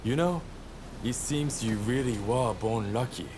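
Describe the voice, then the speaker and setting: A man's voice: medium pitch, measured pace, background noise, close to an everyday voice. A man playing an easygoing, cheeky young samurai gambler in a video game cutscene, with a breezy, teasing manner. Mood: musing.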